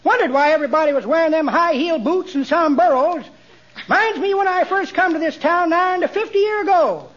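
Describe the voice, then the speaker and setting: Very high-voiced folksy old codger. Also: male voice, a little fast, fairly bright tone. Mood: jovial, folksy. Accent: American. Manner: folksy rustic drawl, teasing and rambling. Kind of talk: 1940s radio comedy acting. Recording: dull noisy 1940s radio, audience behind.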